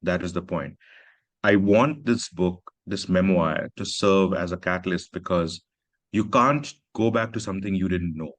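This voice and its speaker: A man's voice: low-pitched, moderate pace, a bit bright, dry recording. A man from Pakistan, an author, speaking earnestly and reflectively at a festival chat, often adding "you know" and "right". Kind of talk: conversational literary talk. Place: literary festival stage.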